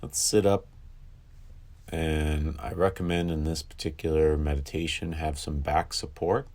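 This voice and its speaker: A man leading a guided sleep meditation, calm and instructive, with long pauses between phrases. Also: rumbling low.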